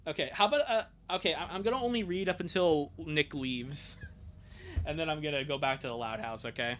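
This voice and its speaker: A man's voice: a little high, fairly quick, a bit bright, slight background noise. A man hosting a livestream, chatting casually with viewers in a jokey, exclamatory way. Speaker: livestream host.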